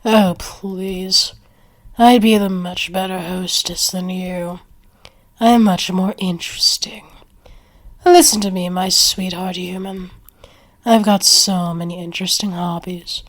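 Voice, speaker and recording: A woman's voice: lowish voice, steady tone, takes it slowly. A woman acting a proud, lovestruck werewolf in an ASMR roleplay, sardonic toward a rival and coaxing toward a beloved. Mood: defiant.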